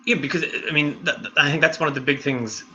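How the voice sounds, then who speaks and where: An Irish man hosting a casual book discussion, talking in halting bursts with many restarts. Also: fairly high pitch, fairly even intonation, sharp tone, muffled recording, slight background noise. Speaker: book club host.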